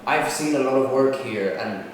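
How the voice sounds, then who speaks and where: A man's voice: mid-range voice, moderate pace. Man from Egypt, theatre director, reflective and casual; distant mic, big echoing room with faint noise. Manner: thoughtful, agreeing, frequent hums and fillers. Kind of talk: casual conversation. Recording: very echoey room heard from far off.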